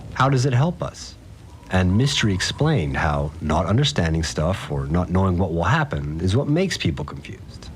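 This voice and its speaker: A man's voice: low-pitched, slight background noise. An actor playing a slangy, rattled fixer who tells his story informally in an audio drama. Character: rattled, slangy male fixer recounting events. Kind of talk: first-person audio drama monologue. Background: music.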